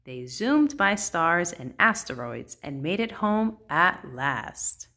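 Slow, lively woman reading a storybook. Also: fairly low pitch. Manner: measured, sing-song storytelling. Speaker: children's book read-aloud host. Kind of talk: expressive picture-book read-aloud in rhyme.

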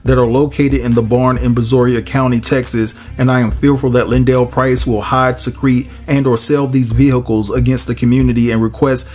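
A man's voice: mid-range voice, flat delivery, brisk, few pauses, a little mellow, soft background music. A man hosting a commentary channel, nasal from a head cold, reading a court filing aloud and then giving his casual opinion to viewers. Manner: casual, addresses viewers as y'all and guys.

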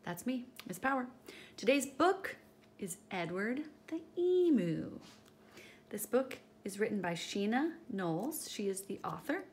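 A woman reading a children's picture book aloud as a storytime teacher, expressive and speaking directly to young listeners. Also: medium pitch, unhurried, a little hiss or hum.

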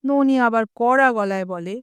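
A woman's voice: fairly high pitch, normal speed, clear, resonant tone, no room sound. A woman telling a humorous short story aloud, giving the quoted lines a little expression.

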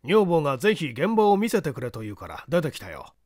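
A man's voice: on the high side, huge pitch swings, a little fast, darkish tone, dry recording, clean studio sound. A man voicing a middle-aged railway chief and scolding husband in a storybook reading, curt and brusque. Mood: grudging.

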